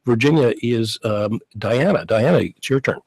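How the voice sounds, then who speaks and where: A middle-aged man hosting a radio interview in a conversational way. He often pauses on hesitant fillers. He is recorded close on a dry studio microphone. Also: medium pitch, vocal fry, a little mellow, slightly rough, no room sound. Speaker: guest host. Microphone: studio mic with headphones.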